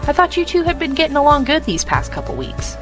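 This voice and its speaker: Anxious female medic trainee, gentle, higher voice, animated intonation, audio drama. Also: quick pace, over music, rough recording. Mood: uncertain.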